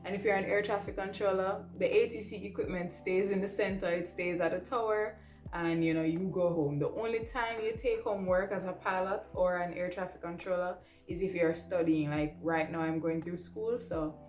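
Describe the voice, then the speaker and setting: A woman's voice: lowish voice, steady tone, normal speed, darkish tone. A young adult woman, presenting casually to camera in a conversational, emphatic way, often checking in with the listener. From Jamaica. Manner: conversational, emphatic, ends points with "right?". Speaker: vlogger, air traffic control trainee and pilot. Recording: roomy echo, music underneath.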